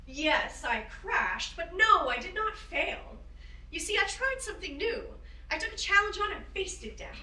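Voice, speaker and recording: A woman's voice: very high voice, animated, normal speed, sharp tone, full character voice. A woman playing a spirited, encouraging woman aviator in a staged play reading, with an earnest, emphatic manner. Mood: rousing. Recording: roomy, distant microphone.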